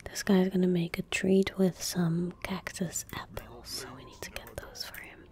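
A young woman speaking calmly and gently in a soft, breathy whisper, giving casual ASMR gameplay commentary close to the microphone. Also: lowish voice. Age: young adult. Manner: calm, gentle, unhurried. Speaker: ASMR gaming streamer. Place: small indoor room at a desk. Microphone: close desk microphone.

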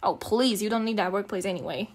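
A woman's voice: mid-range voice, fast, slightly rough. A young woman speaking in a casual, intimate girlfriend roleplay, teasing, slangy and affectionate. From Eastern Europe. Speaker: ASMR roleplay performer playing a girlfriend.

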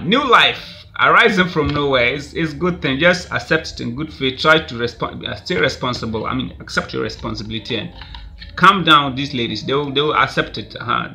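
Bright-voiced Ghanaian man rambling on camera. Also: mid-range voice, vocal fry. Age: 30s. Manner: rambling, laughs often, many "I mean" fillers. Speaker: vlogger. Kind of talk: casual celebrity gossip commentary. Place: small indoor room. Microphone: webcam at desk.

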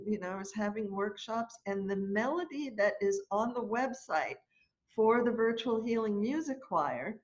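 A woman in her sixties, a choir leader, telling a story in an animated, chatty way during a lesson on a video call from home. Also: high-pitched. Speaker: choir leader and music teacher. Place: room at home, indoors. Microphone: laptop webcam on a video call. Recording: dull lo-fi video call audio.

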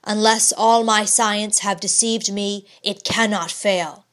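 Stern proud scientist husband, read by a woman, slow and formal, old-timey way of talking. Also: medium pitch, steady tone, fairly bright tone. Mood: confident.

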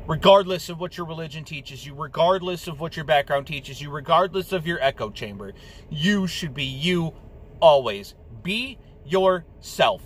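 A man's voice: on the high side, a bit bright, a little hiss or hum. A young man vlogging from inside his car at night, talking heatedly and repeating phrases for emphasis, swearing now and then. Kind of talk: impassioned monologue to camera. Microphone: dashboard camera facing driver.

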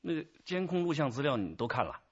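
A man's voice: a little high, very animated, fairly quick, breathy edge, dull audio, exaggerated voice. A man playing a commanding detective chief with a probing, authoritative manner in a radio crime drama. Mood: puzzled. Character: commanding male police detective chief leading an investigation.

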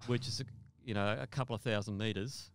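Older male podcast guest, low and resonant, conversational storyteller who rephrases as he goes. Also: fairly even intonation, fast talker. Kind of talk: conversational first-person storytelling.

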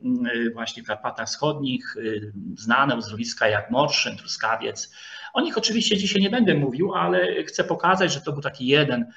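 A dull, lo-fi video call recording carries a man lecturing at a middling pitch. He speaks formally and politely, addressing his listeners directly. His delivery is halting, with many hesitation sounds and pauses while he looks for the next word. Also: sharp tone. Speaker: lecturer, historian. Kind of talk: online lecture with slides.

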